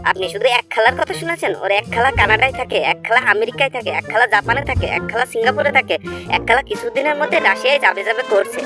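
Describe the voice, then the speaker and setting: Woman as high, quick cartoon braggart guy. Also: over music, lightly acted. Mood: boastful. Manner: boastful, rattling off exaggerated lists. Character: cocky, greedy young male friend who exaggerates wildly. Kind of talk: comedy cartoon dialogue.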